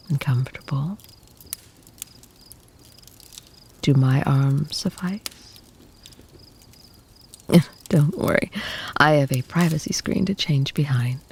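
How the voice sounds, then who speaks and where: An actress plays a protective vampire woman in an intimate ASMR roleplay. Her voice is soft and often whispered, with a gravelly fry underneath. She speaks very slowly and formally, like one used to command, over a faint bed of noise. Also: low-pitched, full character voice. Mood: teasing, doting. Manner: slow, soothing, doting, formal wording.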